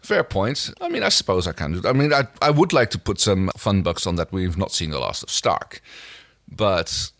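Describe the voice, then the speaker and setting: A man's voice: deep voice, very animated, normal speed, creaky, fairly bright tone, dry recording, clean studio sound. A man co-hosting a casual episode recap, thinking aloud in a hesitant way. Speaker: podcast co-host.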